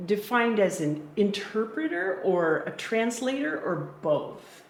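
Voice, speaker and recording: A woman's voice: medium pitch, lively intonation, slow. A woman hosting an interview, asking questions in an encouraging and complimentary manner. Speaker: interview host. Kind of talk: casual interview. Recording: echoey room, faint noise.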